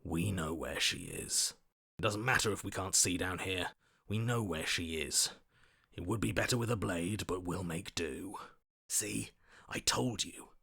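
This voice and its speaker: Stubborn video game brawler, lowish pitch, tense sharp tone, scornful, commanding, dry recording. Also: male voice, lively intonation, measured pace, creaky, husky, no room sound, exaggerated voice. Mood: grim determination. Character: stubborn, combative male inner voice itching for a fight. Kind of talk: dramatic video game voice acting.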